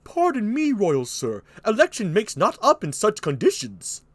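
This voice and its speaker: Man putting on a high-voiced, courtly duke. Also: wildly swooping pitch, moderate pace, no room sound, full character voice. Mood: apologetic. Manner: high-pitched, formal, stately. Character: courteous, apologetic noble duke suitor.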